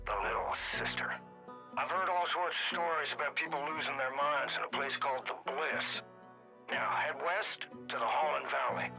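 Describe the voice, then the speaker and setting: An actor playing a gruff older American survivalist guide, giving a plain-spoken, folksy mission briefing over the radio in a video game. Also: male voice, medium pitch, lo-fi sound.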